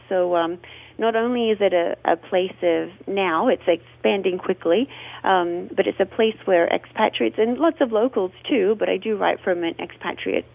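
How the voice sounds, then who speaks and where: A woman's voice: fairly low pitch, creaky. A woman, a guest in a radio interview, telling her story in a chatty, expansive way. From Australia. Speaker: radio guest, food blogger. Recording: tinny phone line.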